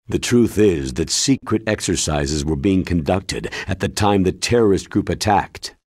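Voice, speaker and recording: Aging ex-army officer for a game: low, rough-edged American voice, solemn and sincere, dry studio sound. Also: male voice, a little mellow, no room sound. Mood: businesslike. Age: older. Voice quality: deep husky. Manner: grave, measured, earnest. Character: weary, earnest old retired male military commander. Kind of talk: video game cutscene acting.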